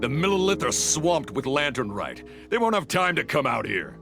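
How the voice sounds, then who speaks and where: An actor playing a gruff, cocky bandit boss in a video game, with a gravelly voice and rough, slangy speech. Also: male voice, medium pitch, sing-song, normal speed, over music. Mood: cocky scheming.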